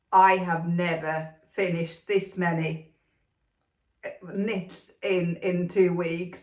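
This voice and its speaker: A woman from Finland hosting a knitting podcast, talking casually with lots of ums and an upbeat, chatty manner. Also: lowish voice. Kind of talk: casual chat to camera. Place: home room with plastic extension, rain outside. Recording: echoey room.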